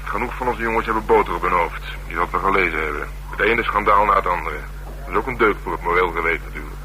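A man's voice: low-pitched, fast talker, pushed and bright, low-quality recording. An actor playing a world-weary, teasing male police detective in a radio crime drama, with a Netherlands Dutch accent and a dry, needling manner. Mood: weary, matter-of-fact. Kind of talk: naturalistic radio drama dialogue. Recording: hissy, humming old radio recording.

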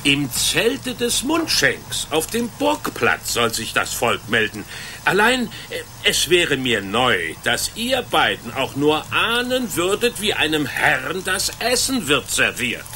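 A bemused blacksmith in a medieval radio drama. He grumbles hesitantly in a folksy way, with a bright, animated voice over faint ambience. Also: on the high side, slow pace, a little hiss or hum, heavily acted. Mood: baffled, sceptical. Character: simple, puzzled, good-natured medieval blacksmith. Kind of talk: German radio play acting.

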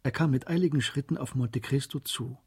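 A man narrating a German radio drama as a calm, measured literary storyteller. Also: medium pitch, faint music behind, close to an everyday voice. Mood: calm, narrative.

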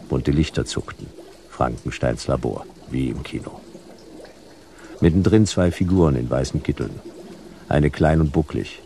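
An actor playing a laconic, sardonic private detective who narrates a German sci-fi radio play in clipped phrases with a gravelly voice. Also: male voice, very deep voice, warm tone, slight background noise, full character voice. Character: hard-boiled sci-fi private detective narrating, dry, wry. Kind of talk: noir first-person radio play narration.

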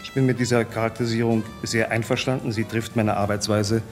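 Measured man speaking over brass music. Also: fairly low pitch, flat delivery. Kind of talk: composed first-person literary statement. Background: brass jazz music, clearly heard.

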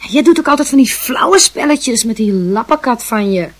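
A high-voiced, teasing young maid. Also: female voice, lively intonation, moderate pace. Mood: teasing, scolding. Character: teasing young maidservant minding a little boy. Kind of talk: Dutch radio play acting.

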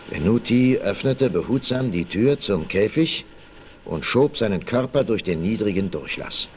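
An actor narrating a western adventure in an emphatic, suspenseful style for a 1960s radio play. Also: male voice, lowish voice. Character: dramatic storyteller of a western adventure. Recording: vintage vinyl radio play, faint noise.

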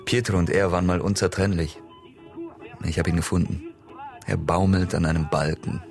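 A man's voice: sits low, steady tone, normal speed, gravelly, music in the background. An actor playing a blunt, gloomy working-class man in a radio crime drama, speaking in terse, dry phrases. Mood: grim, dry. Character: blunt, gloomy working-class male comrade bearing bad news.

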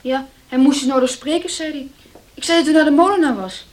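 High-voiced young servant girl played by a woman. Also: brisk, natural voice. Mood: pert, defensive. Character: young female bakery servant, pert and deferential. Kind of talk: Dutch radio play acting.

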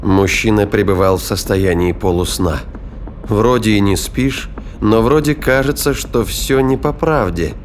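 Guy narrating a sci-fi audio play, lowish voice, faint noise behind. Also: a little slow. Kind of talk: dramatic scripted narration, audio drama.

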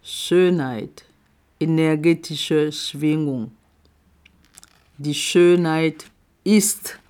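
A woman reading her own reflective poetry aloud in a deliberate spoken-word style, with long pauses between short phrases, on a recording she made herself. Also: sits low, a little mellow, clear, resonant tone. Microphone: self-recorded audio.

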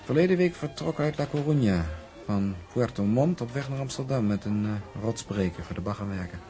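An actor playing a confident shipping man in a drama, explaining in a measured, emphatic manner. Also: mid-range voice, lively intonation, dark tone, soft background music, close to an everyday voice. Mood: self-assured. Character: confident shipping man briefing a woman about a rival.

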